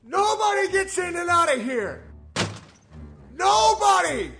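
An actor playing a raving, paranoid madman in a horror film, shouting in drawn-out bursts. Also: very high-pitched, very animated, faint music behind, exaggerated voice. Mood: frantic rage.

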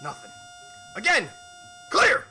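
An actor playing a frantic doctor in a horror film, barking urgent commands. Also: male voice, slow pace, pushed and bright, soft background music.

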